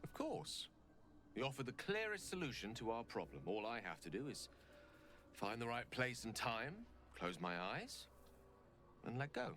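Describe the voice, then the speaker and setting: An actor playing a refined, wistful wizard in a video game, speaking eloquently and reflectively. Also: male voice, mid-range voice, very animated, pushed and bright. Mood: wistful, resigned. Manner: eloquent, reflective, measured phrasing. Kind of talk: video game dramatic acting. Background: music.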